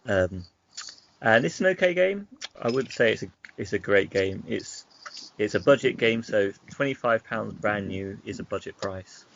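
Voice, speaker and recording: Low-pitched, creaky-voiced man chatting casually. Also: animated, moderate pace, a bit bright. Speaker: podcast co-host. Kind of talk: casual chat about games. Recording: dull lo-fi sound with faint noise.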